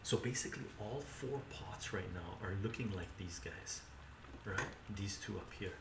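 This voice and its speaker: A man's voice: fairly low pitch, normal speed, vocal fry. An older man hosting a cooking live stream in his home kitchen, chatting easily and narrating each step aloud. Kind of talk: casual chat while cooking, reading chat. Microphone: camera mic some distance away. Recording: roomy, distant, noisy kitchen stream audio.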